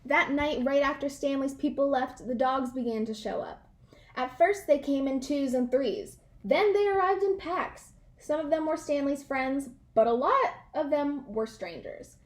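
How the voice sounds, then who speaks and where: A woman with a high-pitched voice narrates a children's storybook. She reads expressively, in an animated read-aloud style, leaning on key words for emphasis. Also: unhurried.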